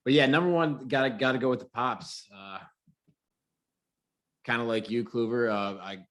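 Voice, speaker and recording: A man co-hosting a casual podcast, earnest and halting with lots of fillers. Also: mid-range voice, steady tone, a bit bright. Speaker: podcast co-host. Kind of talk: casual chat.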